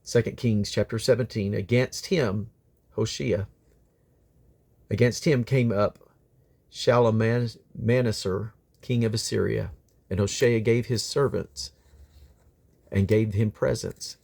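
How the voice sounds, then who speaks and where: A man's voice: on the low side, fairly even intonation, vocal fry, darkish tone. Man reading scripture aloud. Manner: halting over difficult names, stumbles and self-corrects. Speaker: Bible reader. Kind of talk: scripture reading aloud from the King James Bible.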